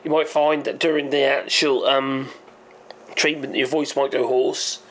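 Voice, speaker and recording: Hoarse, rambling man with gravelly voice. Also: medium pitch, flat delivery, unhurried, a bit bright. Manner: rambling, hesitant, self-correcting. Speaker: channel host. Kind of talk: casual explainer monologue.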